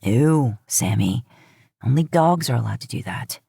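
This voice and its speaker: A woman voicing a gruff, affectionate adult man, a teasing big brother, in an audiobook story. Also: rumbling low, lively intonation, unhurried, warm tone, no room sound, clean studio sound, voice pushed down, exaggerated voice. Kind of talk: acted dialogue in fan fiction audiobook.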